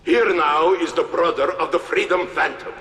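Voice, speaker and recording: A man's voice: a little high, normal speed, bright, forceful tone. A man playing a stern, self-important official giving a formal, declamatory public address in a video game. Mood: solemn, triumphant. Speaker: press conference speaker. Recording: echoey public-address hall.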